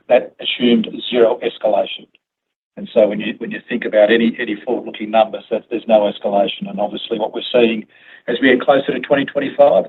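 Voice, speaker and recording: A man speaking as a company executive answering questions on an earnings call, in a halting way with repeated words. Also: lowish voice. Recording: phone-like conference line, clear noise.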